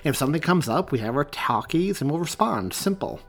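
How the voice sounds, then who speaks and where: Man voicing a loud easygoing cop partner. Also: on the high side, expressive, a little fast, faint music behind, voice pushed up. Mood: coaxing. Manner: loud, exasperated then coaxing.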